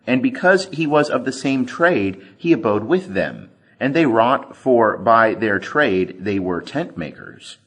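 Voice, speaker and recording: Expressive male scripture reader, slightly husky. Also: mid-range voice. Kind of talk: scripted audiobook narration of scripture.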